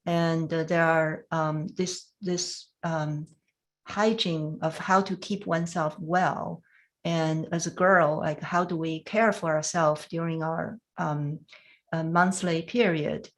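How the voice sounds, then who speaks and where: Middle-aged woman, Chinese accent, low-ish voice, gentle and hesitant testimony. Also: steady tone. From China. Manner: soft-spoken, halting, many fillers and restarts. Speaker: panel speaker. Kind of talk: reflective personal testimony.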